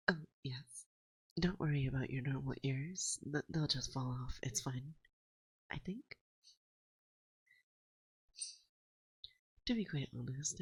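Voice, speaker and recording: A woman playing a dominant, teasing and affectionate forest witch in an ASMR roleplay, speaking in a hushed, breathy voice that often drops to a whisper, close to the microphone. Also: rumbling low, no room sound, heavily acted, rough recording. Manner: slow, soothing, teasing, gently dominant. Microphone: close binaural microphone.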